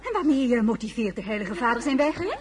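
A woman playing a gracious high-born lady at an imperial court in a radio drama, polite, formal and a little halting. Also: very animated. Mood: concerned, composed. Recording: murmuring crowd behind.

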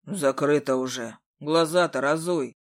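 A woman's voice: low voice, measured pace, voice pushed down. A woman voicing a grumbling old male carousel keeper in an audiobook. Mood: grumpy. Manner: gruff, grumbling, later fawning.

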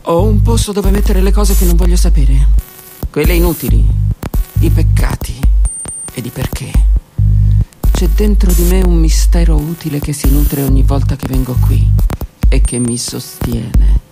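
A woman performing a dramatic first-person monologue in a deliberate, theatrical manner with weighted pauses. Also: sits low, loud music behind the voice. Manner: theatrical, deliberate, with pauses and hushed near-whispered phrases. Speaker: theatre performer and prison drama workshop leader.

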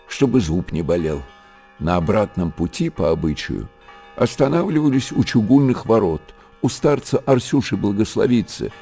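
An actor playing the storyteller of an old monastery tale in a radio drama, narrating in an expressive literary manner. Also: male voice, fairly low pitch. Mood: lyrical.

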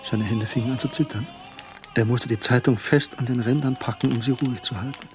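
A man narrating a radio drama in a measured, suspenseful, scripted delivery. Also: mid-range voice, fairly even intonation, darkish tone, soft background music.

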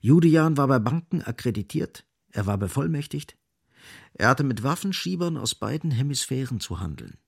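Biting literary narrator, gravelly voice, highly varied intonation, grave irony, radio drama. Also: male voice, sing-song, normal speed, exaggerated voice. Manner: emphatic, ironic.

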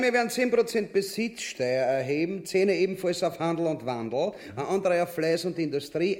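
A man's voice: fairly high pitch, a little mellow. An actor playing a crude, greedy tyrant king with an Austrian accent in a radio play, pompous and coarse. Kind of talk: theatrical radio play acting.

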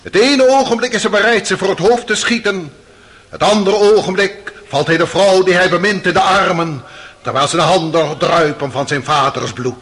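A stern, accusing prosecutor in a radio courtroom drama. His voice sits high for a man and has a bright, forceful edge. He delivers his case as solemn, theatrical oratory. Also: moderate pace. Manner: rhetorical, declamatory, solemn. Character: stern, accusing male prosecutor in a Russian murder trial.

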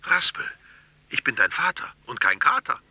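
An actor playing an eccentric, whimsical father in a German radio drama, playful and sometimes halting. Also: medium pitch, big pitch swings, pushed and bright, dry recording, full character voice. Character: eccentric, playful father with odd tics and wordplay.

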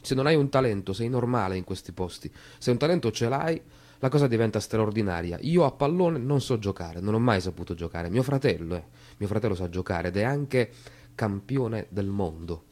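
Monologue role: reflective, wry man of the old town, lowish voice, measured theatrical storytelling. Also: expressive, a little mellow.

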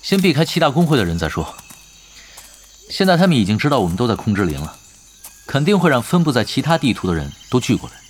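A man's voice: medium pitch, very animated, fast talker. A man acting in an audio drama as a laid-back, shrewd veteran gamer and team leader, matter-of-fact and understated. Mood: calm, decisive. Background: background music.

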